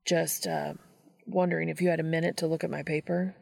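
A woman voicing a vulnerable, hesitant young male college student in a storybook reading, halting and unsure. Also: low voice, flat delivery, gravelly, a little mellow, full character voice. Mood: nervous.

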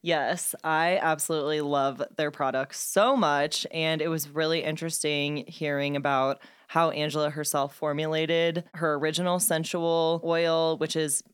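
A young adult woman hosting a casual podcast, bubbly and effusive, quick to laugh. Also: low-pitched, fairly even intonation, clear, resonant tone. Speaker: podcast host.

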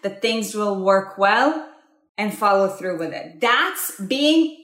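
A woman's voice: mid-range voice, expressive, takes it slowly, fairly bright tone. Emphatic woman from Israel in an echoey room. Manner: emphatic, repeats herself, addresses listeners directly. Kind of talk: casual spiritual talk.

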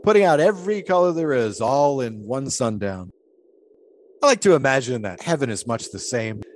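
A man's voice: mid-range voice, big pitch swings, moderate pace, natural voice. A man playing an eccentric, devout 1920s pole-sitter in an audio drama, musing in folksy, old-fashioned storytelling phrases. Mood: awed, reverent.

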